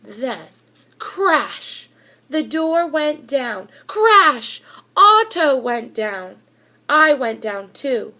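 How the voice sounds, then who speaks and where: A woman reading a children's picture book aloud in an animated, deliberate storytelling manner with emphatic delivery. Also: high-pitched. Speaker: school reader of children's books.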